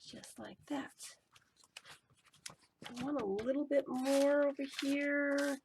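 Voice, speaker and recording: Slow, gravelly woman with a fading voice. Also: high-pitched, steady tone, darkish tone, slight background noise. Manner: muttering to herself as she works, unhurried. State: voice giving out, hoarse. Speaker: collage journaling vlogger. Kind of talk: casual running commentary while crafting.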